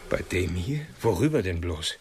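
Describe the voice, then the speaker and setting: A man's voice: deep voice, very animated, normal speed, husky, heavily acted. An actor in a radio crime drama playing a down-to-earth, plain-spoken policeman. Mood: blunt, skeptical. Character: down-to-earth male crime-scene policeman, no intellectual.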